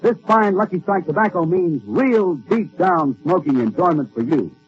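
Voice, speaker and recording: Animated male 1940s radio ad announcer. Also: sits high, normal speed, soft background music. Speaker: commercial announcer. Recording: muffled 1940s radio recording.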